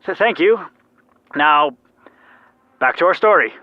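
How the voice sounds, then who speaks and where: A man's voice: on the high side, animated, takes it slowly, dull audio, noisy background. A man acting an anxious young radio narrator and peacemaker in an audio drama, earnest and dramatic. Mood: dramatic, narrating.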